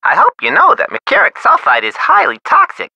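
An actor playing a breezy, glib, goofy supply clerk on the phone in a video game, chipper in an exaggerated way. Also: male voice, very high voice, wildly swooping pitch, a little fast, creaky, pushed and bright, dry recording, full character voice. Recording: dull, band-limited phone call sound.

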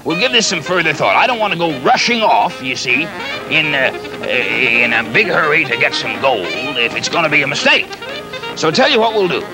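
A man playing a kindly, folksy pirate captain in a children's show, halting and stammering as he rambles and repeats himself. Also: fairly high pitch, sing-song, over music, low-quality recording. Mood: deliberating. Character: folksy, flustered, kindly pirate captain fond of his clumsy pet.

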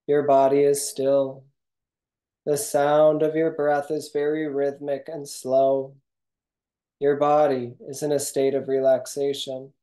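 Yoga nidra guide, calm and instructional, deeply relaxed tone with little pitch movement, reading a script in measured phrases.

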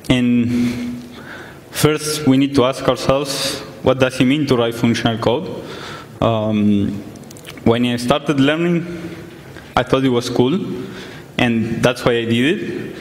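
A man's voice: medium pitch, slow pace, slight background noise. A young man from Argentina giving a conference talk in a large hall, explaining plainly with many fillers. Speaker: conference speaker. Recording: echoey hall, some distance from mic.

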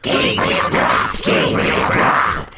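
A man voicing wild unearthly goblins in a storybook reading, screaming out in long drawn-out calls. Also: roomy sound, heavy background noise. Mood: wild, taunting. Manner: shouting, drawn-out calling.

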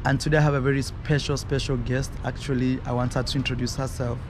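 A man's voice: mid-range voice, level tone, quick pace. A young Kenyan man, native in Swahili, chatting casually and animatedly as a travel vlogger interviewing a guest outdoors. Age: young adult. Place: open rooftop terrace, outdoors. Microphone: camera at arm's length. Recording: outdoor urban background noise.

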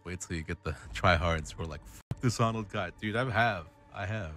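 Man, very low voice, forceful and bright, slangy sweary gaming banter, streamer. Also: moderate pace, soft background music. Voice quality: very deep, booming. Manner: slangy, swearing, playfully showy. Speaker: game streamer. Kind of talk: casual gaming banter and commentary. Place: indoors at a streaming desk.

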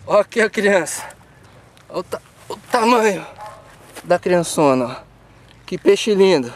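A man's voice: a little high, a little mellow, a little hiss or hum. A man in his thirties hosting a fishing show, narrating and explaining as he fights a fish from a pond bank, now and then coaxing it. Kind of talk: live commentary while fishing. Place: outdoors at a rural pond bank. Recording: outdoor rural ambience.

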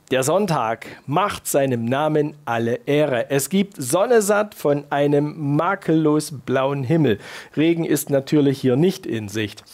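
A man in his thirties presenting scripted regional news and weather in a measured, formal announcing manner with clear emphasis. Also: on the high side, a little mellow. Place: TV studio.

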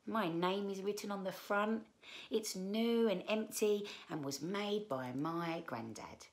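A woman in her thirties reading aloud to children as a storyteller, expressive, with drawn-out emphasis on key words. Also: medium pitch. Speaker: children's book read-aloud presenter. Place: small living room, indoors.

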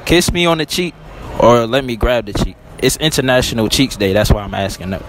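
A man's voice: mid-range voice, animated, fairly quick, noisy background. A young man in a mall, holding a phone like a mic and questioning strangers in playful, slangy banter. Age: young adult. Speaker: host asking strangers questions. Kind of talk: casual street interview. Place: indoor shopping mall. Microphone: phone held to the mouth.

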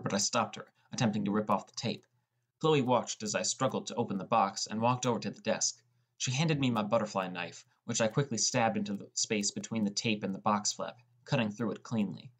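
A man's voice: fairly low pitch, a little fast, husky. A man playing a sullen, defensive teenage boy who narrates his own story in a scripted audiobook. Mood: narrating.

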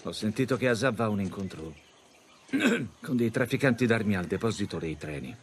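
Animated low-voiced male adventurer. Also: moderate pace, slight background noise. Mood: awkward, conciliatory. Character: wisecracking, cautious middle-aged male treasure hunter. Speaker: voice actor. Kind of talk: naturalistic video game acting. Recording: game soundtrack music behind.

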